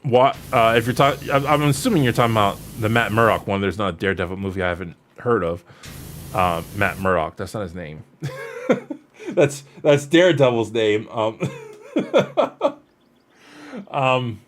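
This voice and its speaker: A man's voice: on the low side, lively intonation, moderate pace. Rambling man streamer, noisy recording. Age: early 30s. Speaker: streamer answering viewer questions. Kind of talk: casual livestream chat, reading out and answering questions. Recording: noticeable background noise.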